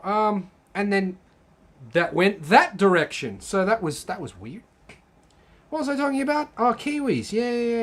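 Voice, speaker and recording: A man's voice: high-pitched, a bit bright. A young man casually chatting on a live stream, rambling, reading comments aloud and swearing freely. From Australia. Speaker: channel host.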